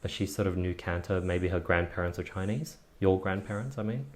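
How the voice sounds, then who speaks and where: Deep-voiced curious male host. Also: fairly quick. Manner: curious, probing, repeats himself to restart. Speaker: podcast host. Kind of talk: interview questions.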